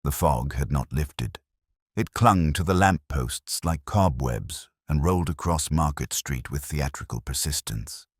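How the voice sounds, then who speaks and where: A man with an extremely low voice narrates a mystery story. His delivery is measured and atmospheric, with plenty of movement in pitch, and the sound is close and dry. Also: animated, husky, dry recording.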